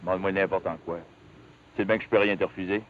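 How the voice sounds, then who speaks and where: Casting: man playing rough, ardent backwoods logger, Quebec French accent, lowish voice, period TV drama, phone-thin old soundtrack. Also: steady tone, fast talker, a little hiss or hum, natural voice. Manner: rustic, colloquial, earnest. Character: Alexis, rough, ardent rural logger who drinks. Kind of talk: 1950s television period drama acting. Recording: muffled, tinny 1950s television soundtrack.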